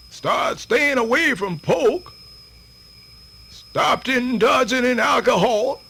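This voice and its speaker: A man's voice: extremely high pitch, vocal fry, bright, forceful tone, slight background noise, heavily acted. A man playing a spirited, very old American folk storyteller born a slave, dramatic and folksy in a radio theatre monologue. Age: older. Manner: dramatic drawn-out delivery, folksy hesitations and drawl.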